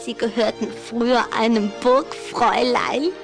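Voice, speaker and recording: A woman's voice: on the high side, fairly even intonation, measured pace, faint music behind. A woman playing a lovestruck young vampire girl in a German children's audio drama, coquettish, proud and emphatic. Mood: playful, delighted. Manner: girlish, coquettish, emphatic delivery.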